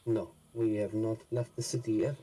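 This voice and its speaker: Husky, mellow-voiced man playing a character. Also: fairly low pitch, fairly even intonation, fairly quick, rough recording. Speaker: player. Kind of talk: in-character roleplay.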